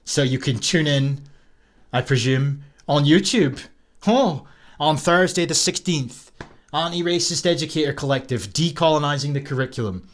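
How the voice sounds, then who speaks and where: A man's voice: a little high, normal speed. A Scottish man giving screen-recorded commentary in an indignant, sarcastic manner, with scoffs and swearing. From Scotland.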